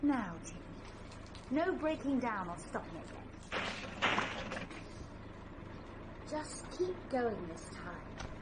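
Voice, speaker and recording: A woman's voice: on the high side, very animated, drawn-out words, long pauses, heavily acted. An actress playing a plucky young British woman with a breezy, coaxing, chatty manner in a vintage radio drama. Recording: very noisy vintage radio recording.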